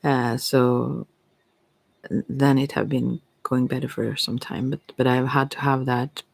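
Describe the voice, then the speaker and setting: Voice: mid-range voice, level tone, a little slow, gravelly, no echo. An ASMR host with a voice of unclear sex, talking in a hesitant, meandering, reflective way. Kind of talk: ASMR, rambling personal talk.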